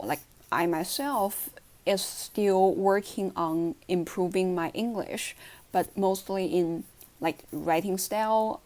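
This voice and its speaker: A woman speaking English with a Chinese accent, her voice sitting a little low for a woman. She talks to her viewers in a casual vlog and explains her tips earnestly and step by step, in simple phrasing. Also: darkish tone. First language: Mandarin Chinese. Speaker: language tips vlogger.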